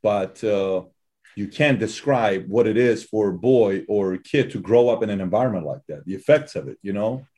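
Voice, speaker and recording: A man from Iran interviewing a guest, asking thoughtful, halting questions full of fillers. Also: lowish voice, darkish tone. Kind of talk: conversational interview.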